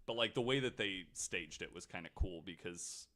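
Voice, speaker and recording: A man's voice: low voice, lively intonation, normal speed, pushed and bright. A man hosting a podcast, telling a story in casual chat, reading quotes aloud with lots of fillers. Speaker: podcast host.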